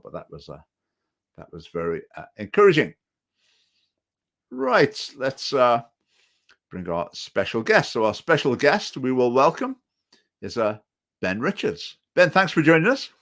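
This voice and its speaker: Man, talk show host, halting with fillers, mid-pitched. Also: big pitch swings, a bit bright. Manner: hesitant, many fillers and restarts. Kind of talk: political talk show chat.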